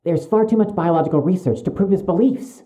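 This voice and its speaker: An actor playing a suspicious young medical student in an audio drama, speaking with precise, urgent, stiffly formal wording. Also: male voice, sits high, brisk, dark tone, natural voice. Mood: urgent, insistent.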